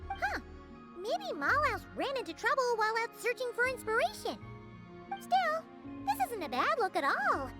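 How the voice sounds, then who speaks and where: A woman voicing a tiny, talkative, childlike fairy companion in a video game, squeaky and dramatic, full of exclamations. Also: wildly swooping pitch, normal speed, over music, full character voice. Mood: curious, concerned. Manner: squeaky, exclaiming, refers to herself in third person. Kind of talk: video game character dialogue.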